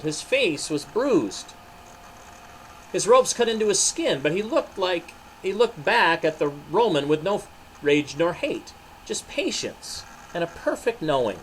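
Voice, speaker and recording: A man's voice: on the high side, slight background noise, rough recording. A man, a pastor, reading a story and talking to children in a dramatic, emphatic way. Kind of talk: animated storybook narration and children's devotional.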